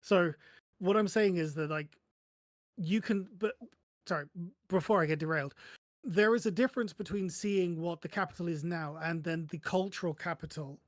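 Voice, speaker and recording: A man explaining things casually on a panel podcast, halting often and correcting himself mid-sentence. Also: high-pitched, no room sound, clean studio sound.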